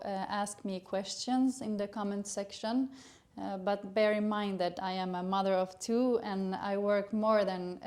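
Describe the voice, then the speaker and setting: A middle-aged woman from Sweden with a Swedish accent, a gynecologist introducing her educational channel in earnest, halting English with many fillers. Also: medium pitch, warm tone. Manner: earnest, hesitant with frequent uh fillers.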